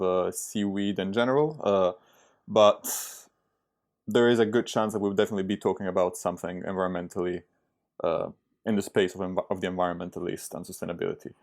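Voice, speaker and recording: A man's voice: on the low side, a little mellow. A young man from Italy, a startup founder speaking as a podcast guest in a casual, hesitant way with many fillers and restarts. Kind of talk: casual interview talk.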